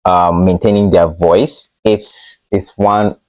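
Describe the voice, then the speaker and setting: A man hosting a casual software tutorial, explaining in a loose, unscripted and slightly hesitant way. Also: low-pitched, flat delivery, slow, no room sound, rough recording. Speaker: host of a tech tutorial channel. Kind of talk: casual screen-share tutorial narration.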